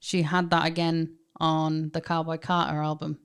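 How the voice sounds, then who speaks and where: A young woman with a Manchester accent talks in a low voice, chatty and animated. She co-hosts a podcast and laughs easily as she talks. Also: normal speed, clean tone. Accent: Manchester English. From England. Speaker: podcast co-host. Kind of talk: casual chat.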